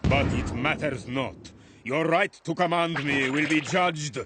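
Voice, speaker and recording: A man voicing a haughty, warlike creature in an animated fantasy game, solemn and imperious. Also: on the high side, a little slow, very noisy, close to an everyday voice.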